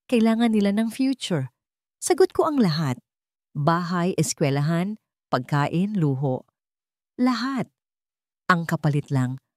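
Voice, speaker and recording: A woman acts out a rich young businessman in a romantic audio drama. He is commanding and persuasive, pressing his point with lively swings of pitch in a dry, close recording. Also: dry recording. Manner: firm, pragmatic, urgent.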